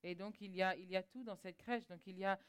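A woman's voice: mid-range voice, steady tone, fast talker, clean tone. A woman interpreting a sermon live, often hesitating and repeating small words as she searches for the right phrasing.

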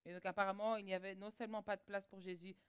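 A woman's voice: mid-range voice, little pitch movement, racing, smooth. A woman interpreting a sermon live, often hesitating and repeating small words as she searches for the right phrasing.

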